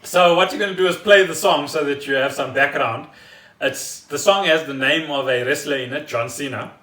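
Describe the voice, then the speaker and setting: A man co-hosting a casual podcast, explaining things in an animated, storytelling way. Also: fairly high pitch, moderate pace, slight background noise. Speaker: podcast co-host. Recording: roomy echo, faint music behind.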